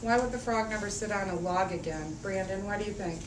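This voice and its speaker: A woman's voice: mid-range voice, brisk. A woman reading a picture book aloud to children in a sing-song, emphatic way, drawing out rhymes and putting questions to her listeners. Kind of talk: animated picture-book read-aloud with call-and-response. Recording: roomy, distant mic, faint background noise.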